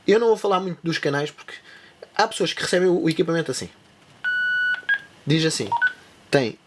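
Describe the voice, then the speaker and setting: Rambling European Portuguese man explaining gear. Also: a little high. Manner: rambling, with many restarts and asides. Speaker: tech channel presenter. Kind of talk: casual spoken tutorial.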